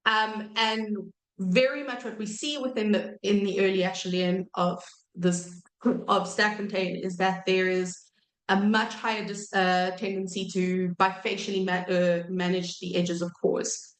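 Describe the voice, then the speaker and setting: A woman in her thirties giving an academic lecture while croaky from a cold, pausing often with ums and correcting herself. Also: medium pitch, fairly even intonation, roomy sound, rough recording. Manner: hesitant, many ums and self-corrections. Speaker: archaeology researcher giving a talk.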